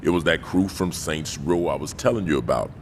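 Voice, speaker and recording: A man's voice: brisk, a little hiss or hum. An actor playing a stern, commanding male gang boss who talks curtly, in a video game cutscene. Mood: placating on phone. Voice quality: deep and gravelly. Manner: curt, authoritative.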